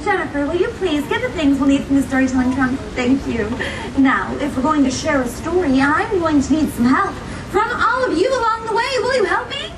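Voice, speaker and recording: A woman performs as a gracious young princess telling a story to children at a live show. Her voice is very high and animated, theatrical and full of encouraging questions. The recording is distant and echoey, with crowd noise around her. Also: fairly quick. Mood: bright, inviting. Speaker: theme park storytelling performer. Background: audience crowd noise.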